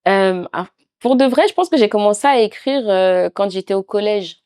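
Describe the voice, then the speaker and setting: A young woman from Cameroon with a Cameroonian French accent, speaking as a guest author in a reflective, halting way. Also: medium pitch, clear, resonant tone, no echo, studio quality. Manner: reflective, soft-spoken, halting. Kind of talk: intimate podcast conversation.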